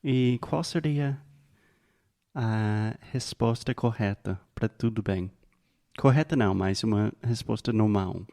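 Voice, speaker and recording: A man co-hosting a language podcast, a learner himself, who asks measured questions and slows down on key phrases. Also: lowish voice, warm tone. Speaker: podcast co-host and Portuguese learner. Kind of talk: casual teaching chat.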